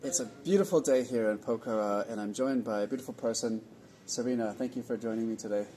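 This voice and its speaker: A man from California hosting a casual interview at an event venue, easygoing and rambling with pauses. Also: medium pitch, warm tone, slight background noise. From California, USA. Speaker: host of a nomad community event.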